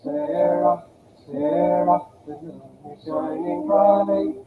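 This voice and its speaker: A man singing a slow love song. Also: sits high, animated, very noisy. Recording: phone-like, echoey old song recording.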